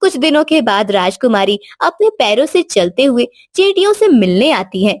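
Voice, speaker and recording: A woman's voice: on the high side, very animated, moderate pace, dry recording, clean studio sound. A woman reading scripted narration for a moral story as the storyteller.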